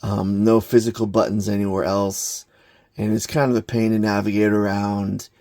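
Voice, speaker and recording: A man giving an unscripted hands-on gadget review in a halting, plain-spoken, matter-of-fact way. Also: on the low side, little pitch movement, a little mellow, no echo. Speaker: product reviewer, hobbyist maker.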